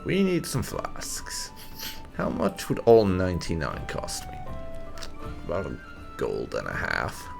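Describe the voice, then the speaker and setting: A man's voice: sits low, huge pitch swings, takes it slowly, gravelly, long pauses, over music. A man giving roleplay playthrough commentary on a video game, musing with wry understatement. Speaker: gaming channel host.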